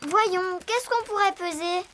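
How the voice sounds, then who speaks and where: Very high-pitched, bossy little girl elephant. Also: unhurried, background noise. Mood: eager. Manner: assertive, excitable, self-assured. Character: bold, eager young girl elephant, meddlesome and confident. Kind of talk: animated children's cartoon acting. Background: loud children's cartoon music.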